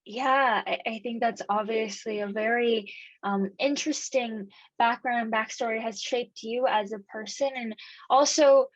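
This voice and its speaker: A woman's voice: on the high side, fairly even intonation, measured pace, dry recording, lo-fi sound. Polite woman interviewer, dry sound. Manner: polite, uses many um fillers while asking long questions. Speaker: interviewer, host. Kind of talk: interview questions.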